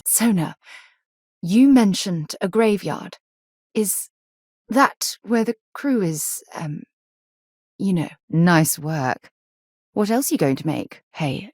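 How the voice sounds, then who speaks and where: A woman's voice: low voice, darkish tone, husky, dry recording, clean studio sound. A woman voicing a composed, articulate and principled space explorer and expedition leader in a video game. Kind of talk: video game companion dialogue.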